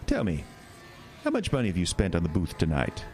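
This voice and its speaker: An actor playing a sly, sinister male carnival owner who talks in a smooth, teasing, drawn-out way in a horror radio drama. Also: sits low, huge pitch swings. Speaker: carnival showman. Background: music score.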